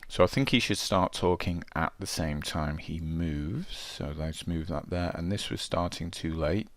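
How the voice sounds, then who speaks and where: Male tutorial presenter, very low register, dry close sound, informal and thinking aloud. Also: a little slow, dry recording. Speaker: game development tutorial presenter. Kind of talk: casual screencast walkthrough, thinking aloud.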